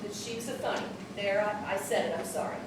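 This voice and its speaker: An actress playing a high-strung, well-off mother who is prickly and defensive and snaps into screaming fury. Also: fast talker, lightly acted. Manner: sharp and clipped, erupting into screaming. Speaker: mother on the phone to her child. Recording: roomy, distant mic with background noise.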